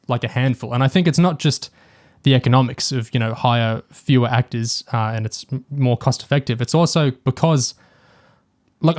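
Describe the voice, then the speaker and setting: A man's voice: mid-range voice, darkish tone, dry recording, clean studio sound. A man co-hosting a podcast, talking casually and analytically through a review, hesitantly thinking aloud as he goes. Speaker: podcast co-host.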